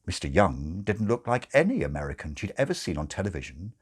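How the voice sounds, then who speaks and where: A man's voice: sits low, very animated, fairly quick, husky. A man narrating a comic audiobook in a wry, theatrical storytelling manner.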